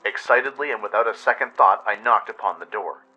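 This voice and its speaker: A man's voice: lowish voice, brisk, lo-fi sound. A man reading scripted first-person story narration for a radio play. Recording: music bed under produced voice-over. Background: music.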